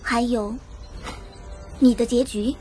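A woman's voice: a little high, steady tone, slow, a little mellow, soft background music. A woman playing a small, brave, determined girl fighter in a radio drama, speaking earnestly and boldly. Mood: stern resolve.

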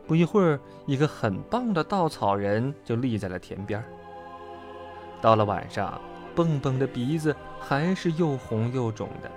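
A storyteller narrating a children's storybook in an expressive, dramatic way. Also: mid-range voice, normal speed, faint music behind.

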